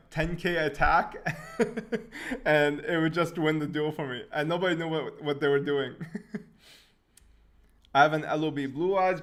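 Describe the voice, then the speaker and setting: A young man from Syria who grew up in Canada, hosting a casual live question-and-answer stream, relaxed and quick to laugh. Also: a little high. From Syria, raised in Canada. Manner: relaxed, laughs often, restarts words. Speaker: channel host answering live chat questions.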